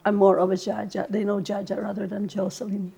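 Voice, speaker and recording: A woman in her sixties introduces herself in a friendly, chatty manner. Her voice has a gravelly, creaky texture. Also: mid-range voice, little pitch movement, brisk, warm tone. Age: 60s.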